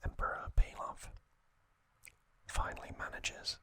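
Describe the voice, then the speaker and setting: Slow whispering male ASMR narrator. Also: dry recording. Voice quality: breathy unvoiced whisper. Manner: gentle, hushed, deliberate. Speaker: ASMR host.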